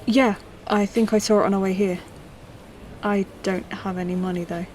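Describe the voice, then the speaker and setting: Woman playing a calm, gentle newcomer. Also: mid-range voice, moderate pace, slight background noise, close to an everyday voice, low-quality recording. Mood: gentle, reassuring. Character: gentle, reassuring woman posing as an android. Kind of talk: audio drama acting.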